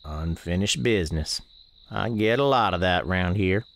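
An actor playing a plainspoken, watchful country storekeeper, narrating a supernatural radio drama in a deliberate, homespun way. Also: male voice, sits low, animated, gravelly, a bit bright, heavily acted. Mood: frank, knowing. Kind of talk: first-person radio drama narration and dialogue.

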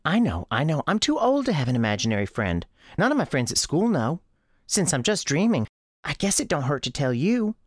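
Performer voicing an earnest, high-pitched young Southern girl. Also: very animated, brisk, no room sound, clean studio sound. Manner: rustic childish grammar, eager rambling confessions.

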